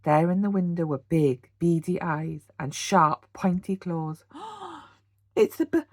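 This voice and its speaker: A British woman, a teacher, reading a children's storybook aloud in an animated bedtime-story style. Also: fairly low pitch, slow, no room sound, clean studio sound. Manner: animated, with gasps and sound words.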